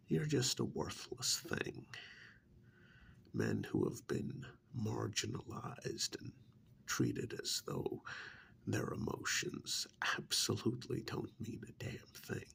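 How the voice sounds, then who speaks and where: A man playing a smug, menacing agent of a vampire in a soft-spoken ASMR roleplay, with a breathy, gravelly half-whisper and deliberate, condescending delivery. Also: lowish voice, a little hiss or hum, full character voice. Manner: slow, deliberate, condescending, with pauses. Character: smug, menacing vampire's male agent interrogating a captured hunter.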